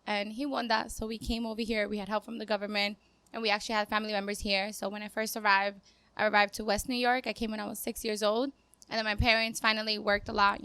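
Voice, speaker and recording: A woman's voice: medium pitch, pushed and bright, clean tone. A woman in her twenties from Cuba, a dancer chatting casually as a podcast guest in an upbeat, talkative way. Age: 20s. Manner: upbeat, chatty, laughs easily. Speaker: guest, dancer and business owner. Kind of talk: casual interview talk.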